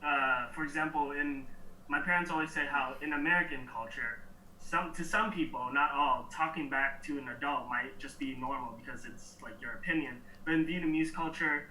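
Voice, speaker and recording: Young man, from New York, very bright voice, roomy echo, relaxed halting answers. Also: on the high side, a little hiss or hum. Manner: relaxed, halting, laughs softly between thoughts. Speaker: interview guest. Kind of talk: casual interview answers. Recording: echoey room, mic at a distance.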